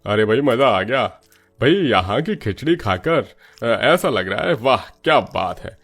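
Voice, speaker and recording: Casting: male actor as fussy cartoon diner, creaky voice, swooping exaggerated intonation. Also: medium pitch, very animated, measured pace, fairly bright tone, full character voice. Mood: delighted surprise. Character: fussy, expressive male food stall customer. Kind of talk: cartoon story acting.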